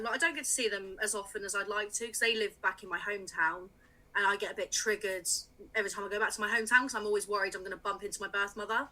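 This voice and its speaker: A woman in her late twenties from England talks in a casual podcast chat. Her voice is bright and ringing, and she stays mid-range in pitch. She laughs often and fills her sentences with little fillers. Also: poor audio. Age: late 20s. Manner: chatty, frequent laughs and fillers. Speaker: music writer, podcast guest.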